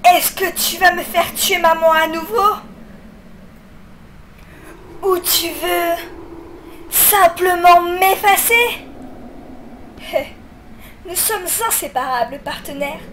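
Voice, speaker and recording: Girl doing a bitter creepy demon kid for a comic dub, super high, slow and taunting, clear music under it. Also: fairly bright tone, a little hiss or hum, exaggerated voice. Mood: hurt, furious. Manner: mocking, theatrical, emotionally intense. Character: taunting, bitter, vengeful demonic child spirit, possessive partner. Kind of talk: amateur comic dub acting.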